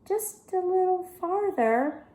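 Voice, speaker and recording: A confident young girl from a children's story, played by a woman reading aloud. She sounds breezy and reassuring. The room adds a clear echo around her voice. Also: extremely high pitch, lively intonation, takes it slowly, warm tone, voice pushed up, full character voice. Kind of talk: children's audiobook character voice.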